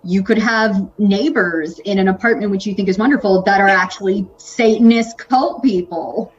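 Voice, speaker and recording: A woman guest on a podcast who lays out her thoughts in full, articulate sentences at a mid pitch. Her audio is muffled and lo-fi compared with the others. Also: normal speed. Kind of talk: casual chat.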